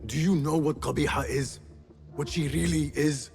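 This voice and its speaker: An actor playing a young, earnest and defiant assassin in a video game, speaking in a solemn, formal, intense way. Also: male voice, a little high, steady tone, normal speed, gravelly, a little mellow. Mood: grim, determined. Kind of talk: cinematic video game voice acting. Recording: music behind the voice. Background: dramatic score.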